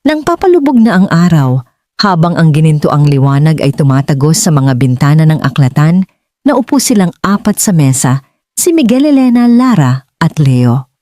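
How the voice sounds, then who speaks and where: A Filipino-accented woman narrating a scripted dramatic story in a measured, earnest way, as for an audiobook. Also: low voice, very animated, mellow, no room sound, studio quality.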